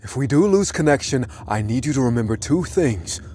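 Man acting as artificial intelligence helper, raised pitch, urgent instructions, wry edge, audio fiction. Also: expressive, a little fast, mellow, a little hiss or hum, close to an everyday voice. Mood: urgent, instructing. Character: mysterious helpful AI voice guiding a frightened man.

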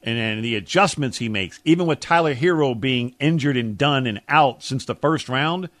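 A man's voice: medium pitch, normal speed, fairly bright tone, dry recording. An American man co-hosting sports talk radio, blunt, emphatic and colloquial as he gives his opinions in casual chat. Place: radio studio. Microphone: close studio mic.

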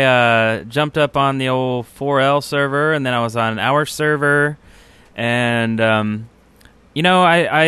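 A man's voice: mid-range voice, sharp tone, smooth, dry recording. A man co-hosting a podcast, chatting informally and a bit rambling about video games. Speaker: podcast co-host. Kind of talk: casual gaming podcast chat.

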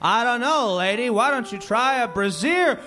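A man voicing a hammy vaudeville comedian who tells jokes in a squeaky falsetto. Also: lively intonation, moderate pace, pushed and bright, faint music behind, exaggerated voice. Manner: squeaky falsetto joke-telling.

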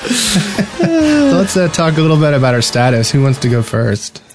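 A man's voice: on the high side, animated, moderate pace, music in the background. A man hosting a casual gaming podcast with joking, teasing banter. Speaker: podcast lead host.

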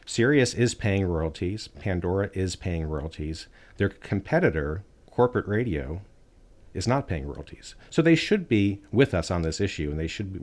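A man's voice: low-pitched, a little mellow. A man appearing as an interview guest who argues earnestly and emphatically for artists' rights. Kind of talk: interview answers.

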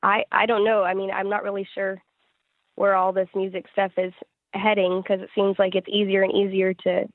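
A woman's voice: medium pitch, level tone, normal speed, dry recording. A woman chatting casually as an interview guest, speaking over a telephone call. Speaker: singer-songwriter being interviewed. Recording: narrow tinny phone line.

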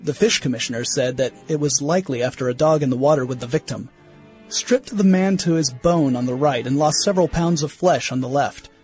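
A man's voice: mid-range voice, a little fast, darkish tone, soft background music, lightly acted. A man playing an earnest young museum scientist of 1916, reading journal entries in a radio play in formal, clipped phrasing. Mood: concerned, skeptical. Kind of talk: scripted diary narration in a radio play.

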